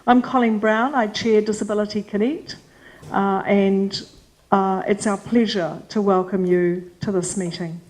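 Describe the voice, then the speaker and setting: A middle-aged woman with a New Zealand accent chairing a community forum, speaking in a loud, teacherly voice with a bossy edge and lots of casual fillers. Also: mid-range voice, fairly even intonation, unhurried, low-quality recording. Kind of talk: welcoming remarks at a community forum. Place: meeting hall with a large audience.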